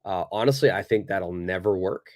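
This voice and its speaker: A male native English speaker teaching a live online English lesson, answering viewers' questions in a relaxed, chatty way with lots of fillers. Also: low-pitched, normal speed. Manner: relaxed and conversational, many fillers, acts out little examples.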